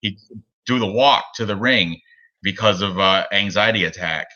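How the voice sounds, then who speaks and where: A man's voice: lowish voice, moderate pace, sharp tone, dull audio. A man co-hosting a casual sports betting show, rambling and laughing often as he talks through his picks. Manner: rambling, laughs often, reads chat aloud. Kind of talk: casual chat.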